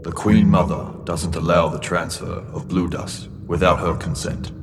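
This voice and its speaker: An actor playing a grave, commanding mentor in a sci-fi audio drama, terse and solemn. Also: male voice, deep voice, fairly even intonation, moderate pace, background noise. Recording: reverberant, with music underneath.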